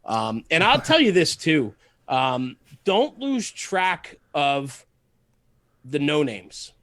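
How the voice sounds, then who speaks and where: Man talking earnestly, slightly high-pitched voice. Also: sing-song, slow, fairly bright tone, dry recording. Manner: earnest, emphatic, repeats phrases for stress. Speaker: podcast guest, fire service media host. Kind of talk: casual podcast interview, passionate monologue.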